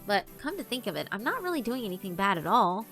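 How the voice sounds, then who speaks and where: A woman's voice: medium pitch, big pitch swings, quick pace, over music. A woman voicing a timid, well-meaning girl who muses to herself hesitantly in a visual novel. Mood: fretful musing.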